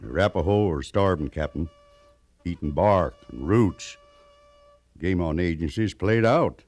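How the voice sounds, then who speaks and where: A man's voice: sits low, expressive, measured pace, a little mellow, soft background music. An American actor playing a plainspoken, weathered mountain man scout in a western radio drama, with a folksy rustic drawl. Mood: grave, urgent.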